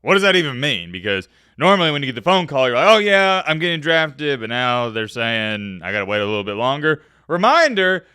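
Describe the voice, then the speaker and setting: A man giving casual reaction commentary as a video host, animated, slangy and opinionated in his delivery. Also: medium pitch, brisk, hardly any pauses, smooth, dry recording, clean studio sound.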